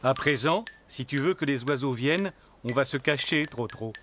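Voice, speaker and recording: A man voicing a patient, gentle father donkey in a French preschool cartoon, with an expressive fatherly manner. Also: medium pitch, normal speed, noisy background, natural voice. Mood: helpful. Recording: music behind the voice.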